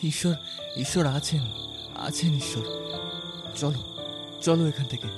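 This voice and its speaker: Man acting a higher-pitched, distraught devout husband. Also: a little slow, over music, close to an everyday voice. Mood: remorseful, pleading. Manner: emotional, repeats phrases imploringly. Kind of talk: horror audio drama acting.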